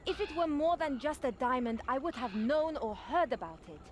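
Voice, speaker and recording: A woman's voice: sits high, lively intonation, moderate pace, slight background noise. A woman playing a proud, easily exasperated young desert princess in a video game, with formal, scolding phrasing. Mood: composed.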